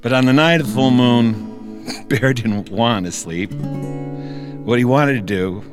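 A man telling a story live in a folksy, deliberate, playful way, with plucked guitar behind him. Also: mid-range voice. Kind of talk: live musical storytelling for an audience. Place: studio with audience.

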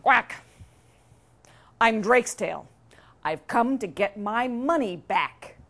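A woman performs a plucky little storybook duck with a high, loud voice. The duck quacks and chants in insistent repeats, exclaiming everything and easily tipping into alarm. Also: lively intonation, slow, exaggerated voice. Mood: bold.

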